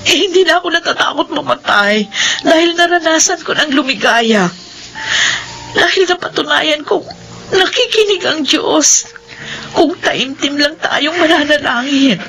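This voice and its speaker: A woman's voice: high voice, expressive, measured pace, pushed and bright, slight background noise, exaggerated voice. A woman playing a devout, hopeful pregnant young wife in a radio drama, speaking earnestly and tenderly, with pleading emotion. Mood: tearful, tender.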